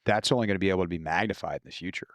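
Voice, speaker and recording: A low-pitched American man chats as a podcast guest, an author explaining his work. His delivery is informal and sweary. The recording is dry and close, with little room sound. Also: very fast, no echo. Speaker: guest author discussing own novels. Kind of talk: casual podcast conversation.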